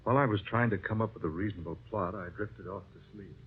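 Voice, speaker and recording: An American actor playing an earnest, dreamy married writer in a 1940s radio drama, speaking in a measured, reflective storytelling way. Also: male voice, on the low side, natural voice. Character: earnest dreamy married male writer recounting his quest. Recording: muffled vintage radio recording.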